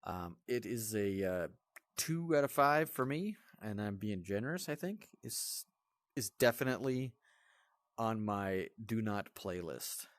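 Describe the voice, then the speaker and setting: Low-voiced man, dry close sound, grumbling review. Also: lively intonation, unhurried, dry recording. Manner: blunt, grumbling, exasperated. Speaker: host of a VR game review channel. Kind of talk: casual off-the-cuff game review.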